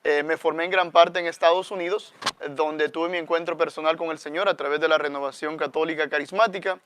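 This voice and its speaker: A man's voice: fairly high pitch, steady tone, fairly quick, a bit bright, slight background noise. A man with a Salvadoran accent, a guest priest teaching conversationally and reflectively, often adding questioning tags.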